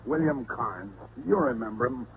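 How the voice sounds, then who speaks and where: An American actor playing an exasperated, sarcastic police lieutenant in an old radio drama. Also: male voice, mid-range voice, lively intonation, normal speed, a crowd in the background, natural voice. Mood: businesslike, dry. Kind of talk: 1940s-50s radio drama acting. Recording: dull, hissy vintage radio recording.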